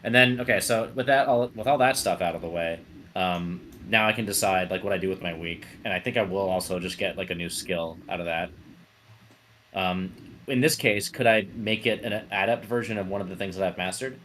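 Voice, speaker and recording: Low-voiced man playing a tabletop game. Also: fairly quick, fairly bright tone, a little hiss or hum. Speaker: player in a tabletop roleplaying game. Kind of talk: casual chat about game mechanics.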